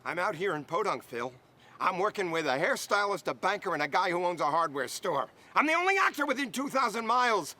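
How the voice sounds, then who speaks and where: An actor playing a pompous, disgruntled New York stage actor, full of sarcasm and indignation. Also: male voice, sits high, sing-song, a little fast, pushed and bright, heavily acted. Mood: indignant.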